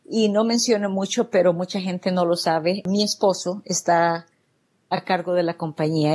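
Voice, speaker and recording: Woman guest, low-pitched, earnest and grateful answers. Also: a little mellow. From El Salvador. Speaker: guest, real estate business owner. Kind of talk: interview answers.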